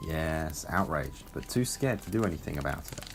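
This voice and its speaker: An actor playing a wry, world-weary ageing Time Lord tutor in an audio drama, dry and unflappable with a gravelly low voice. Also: male voice, expressive, moderate pace, background noise.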